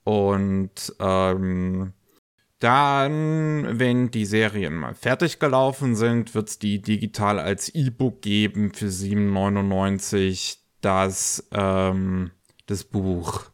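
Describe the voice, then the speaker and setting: Low-voiced man with a cold. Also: takes it slowly, clear, resonant tone. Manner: relaxed, many fillers and restarts. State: has a cold. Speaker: podcast host. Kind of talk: casual news chat.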